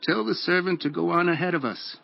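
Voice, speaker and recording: An actor playing a solemn, commanding prophet in a dramatized audio Bible, with measured, declamatory delivery. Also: male voice, on the high side, gravelly. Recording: dull lo-fi audio with music. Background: background music.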